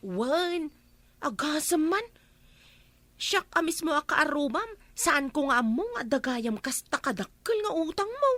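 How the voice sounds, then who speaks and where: An actress playing a tattling, astonished neighbour in an Ilocano radio comedy drama, with an Ilocano accent. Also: female voice, high-pitched, very animated, unhurried, fairly bright tone, dry recording, full character voice.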